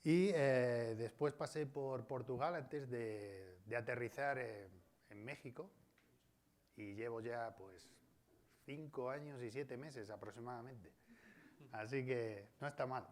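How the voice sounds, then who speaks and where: A man's voice: mid-range voice, slow, darkish tone. A man with a Spain Spanish accent giving an academic colloquium talk in a university auditorium, discursive and often adding tag questions. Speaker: guest speaker.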